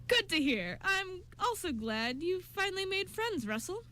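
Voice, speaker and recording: Frank, affectionate, teasing council woman acted by a woman, high voice, fantasy radio drama. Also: sing-song, moderate pace, bright, forceful tone, full character voice. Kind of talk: amateur radio drama reading.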